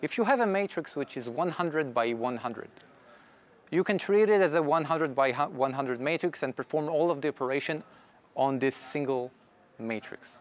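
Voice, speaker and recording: A man whose first language is Hebrew, presenting at a technical conference in a fairly high voice. He explains things patiently and step by step, often restarting his sentences. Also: animated, normal speed. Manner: explanatory, frequent restarts and fillers. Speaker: conference speaker. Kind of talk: technical talk with audience Q&A.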